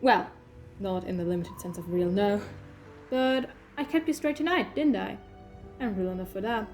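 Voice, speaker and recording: A woman's voice: mid-range voice, expressive, moderate pace, a little mellow, natural voice. Woman acting a playful, coaxing time-travelling heroine, music behind. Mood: playful, musing. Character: playful, reassuring time-travelling alien heroine, a voice in a boy's head. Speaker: voice actor. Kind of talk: fan audio drama acting.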